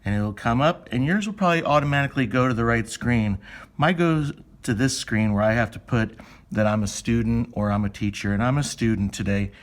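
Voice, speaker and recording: A man's voice: medium pitch, a little fast. Man teaching young children, informal and chatty. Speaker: elementary school teacher. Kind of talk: step-by-step lesson for young children, thinking aloud.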